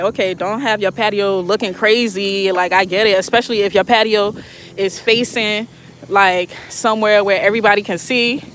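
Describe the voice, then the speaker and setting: A woman's voice: medium pitch, slight background noise. A talkative woman chats casually to her viewers while she waters her garden outdoors. She speaks into a phone, laughs often and talks to her audience like friends. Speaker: gardening vlogger.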